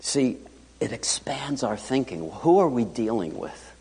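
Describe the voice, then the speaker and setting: A male pastor preaching a sermon in a church, animated and joking, with sudden loud and hushed asides. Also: mid-range voice, measured pace, breathy edge. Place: church sanctuary. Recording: long hall reverb.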